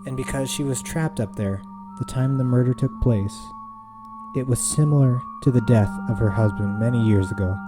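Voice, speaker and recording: A man's voice: mid-range voice, normal speed. Man narrating horror over prominent music. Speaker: storyteller. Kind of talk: scripted horror story narration. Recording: music behind the voice. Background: eerie background music.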